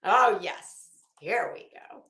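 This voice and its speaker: A woman chats to her livestream in a bright, forceful voice with a roomy echo around it. She takes her time, rambling, breaking off to search for a word, then quoting herself with dramatic emphasis. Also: medium pitch, lively intonation, slow pace. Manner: rambling, self-interrupting, searching for words. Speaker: livestream host. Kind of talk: casual livestream chat. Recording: roomy, echoing room sound.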